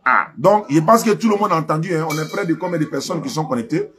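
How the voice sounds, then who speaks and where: Ivorian man hosting, slightly high voice. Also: expressive, fast, fairly bright tone. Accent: Ivorian French. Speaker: host of a religious call-in live stream. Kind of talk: live phone call-in talk.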